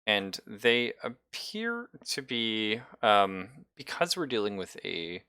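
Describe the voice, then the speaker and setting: A man narrating a tabletop sci-fi horror game as game master, halting as he thinks aloud. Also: fairly low pitch, big pitch swings, bright, forceful tone, smooth, dry recording, clean studio sound.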